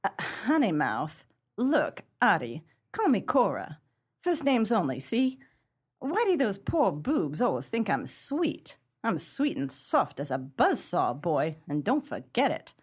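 A woman playing a tough, proud 1930s gang leader in a pulp crime radio play, with brassy, slangy gangster talk and a husky voice. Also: medium pitch, wildly swooping pitch, unhurried, full character voice. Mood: tough, challenging.

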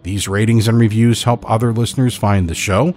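A man's voice: fairly low pitch, steady tone, fairly quick, darkish tone, faint music behind. A man hosting a fiction podcast, reading scripted closing credits and announcements. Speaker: podcast host and producer.

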